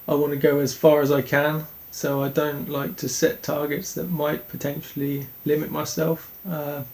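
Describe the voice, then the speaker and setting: A young man from Denmark, a speedway rider answering interview questions in an earnest, halting way with many restarts. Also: fairly high pitch, monotone, creaky, a little mellow.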